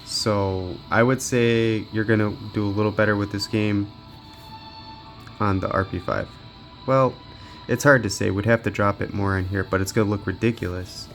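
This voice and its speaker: A man's voice: fairly low pitch, fairly even intonation, normal speed, a bit bright, faint music behind. A man giving casual, off-the-cuff commentary as he tests gaming gear, muttering to himself as he works. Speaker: gaming handheld reviewer.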